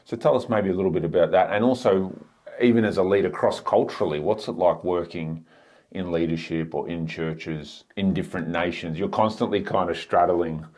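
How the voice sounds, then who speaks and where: A man's voice: low-pitched, a little fast, darkish tone. A man hosting a podcast, interviewing in a casual, encouraging and affirming way.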